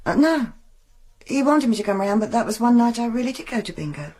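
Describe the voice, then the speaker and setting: A woman's voice: a little high, big pitch swings, a little fast, darkish tone. An actress playing a defensive, bitter mother being questioned by the police. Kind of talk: radio drama acting.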